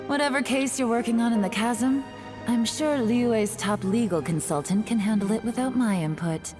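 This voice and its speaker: A woman's voice: a little high, moderate pace, over music, natural voice. Woman playing cool, enigmatic secret agent. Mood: cool, knowing. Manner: composed, coy, controlled. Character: cool, enigmatic adult female undercover agent, teasing, authoritative. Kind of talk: English video game dub.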